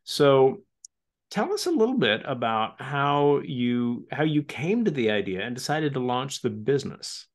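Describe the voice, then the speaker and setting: A man's voice: mid-range voice, big pitch swings, a little slow. A man in his fifties hosting an online club meeting, friendly and upbeat, often repeating words. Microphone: video call.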